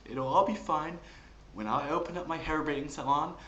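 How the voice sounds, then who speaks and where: A man's voice: on the high side, normal speed, a bit bright, highs cut off, pitched above the performer's own voice, close to an everyday voice. A man voicing a shallow, dramatic teenage Valley Girl with a Californian accent and a gushing, whiny drawl. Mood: whiny, disgusted. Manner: gushing, filler-laden teen drawl. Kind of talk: exaggerated comic character monologue. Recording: roomy, noisy home recording.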